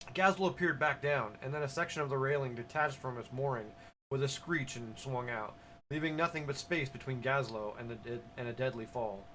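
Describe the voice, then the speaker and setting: A man hosting a podcast, reading his own short story aloud in a steady, measured narration. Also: medium pitch, slight background noise, poor audio. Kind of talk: audiobook-style story reading.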